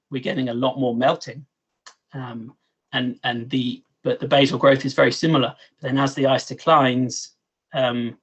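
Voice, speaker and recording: A man giving a scientific presentation in an online meeting, halting and often repeating words as he explains. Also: mid-range voice, fairly bright tone, slightly rough, rough recording. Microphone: online meeting microphone.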